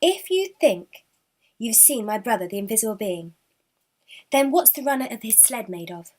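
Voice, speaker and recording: Woman playing stern, knowing guardian sister, high voice. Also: expressive, moderate pace, darkish tone. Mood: challenging. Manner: commanding, probing, unfooled.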